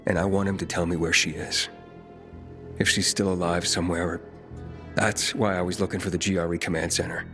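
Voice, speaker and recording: An actor playing a wary, driven young outsider hero in a video game, with terse, guarded delivery. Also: male voice, sits low, level tone, a little fast, bright, forceful tone. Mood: grim, probing. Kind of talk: video game dialogue acting. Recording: music behind the voice.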